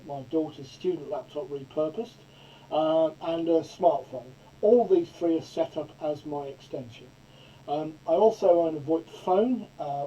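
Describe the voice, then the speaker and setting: Male tutorial presenter, middle-aged, very mellow and slightly high-pitched, stumbles and repeats words, muffled lo-fi sound. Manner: halting, often stammers and repeats words. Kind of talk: informal product demonstration.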